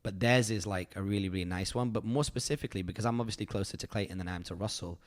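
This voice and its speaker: Podcast host, man in his thirties, low voice, loose rambling delivery with lots of fillers. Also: racing. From United Kingdom. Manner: rambling, filler-heavy, earnest and grateful. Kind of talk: casual reflective chat.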